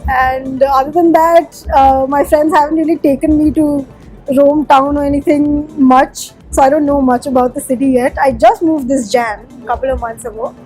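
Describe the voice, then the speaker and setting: A woman's voice: sits high, fairly even intonation, normal speed, a little mellow, soft background music, low-quality recording. A woman from Sikkim whose first language is Nepali, a musician answering interview questions in a chatty, candid way with plenty of fillers. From Sikkim, India.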